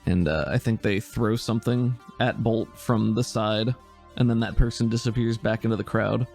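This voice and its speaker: A man's voice: on the low side, steady tone, moderate pace, gravelly, a little mellow, soft background music. A man narrating as a laid-back, easygoing game master.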